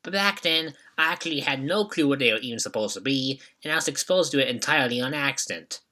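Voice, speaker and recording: Bright-voiced young man narrating. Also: medium pitch, animated, quick pace. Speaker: video host. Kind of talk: scripted retrospective narration.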